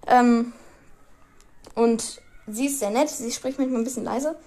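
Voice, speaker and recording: A woman's voice: a little high, measured pace, mellow. A teenage girl chatting casually to her viewers, rambling and giggling often, with frequent restarts. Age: teens. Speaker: vlogger. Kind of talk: casual chat to camera.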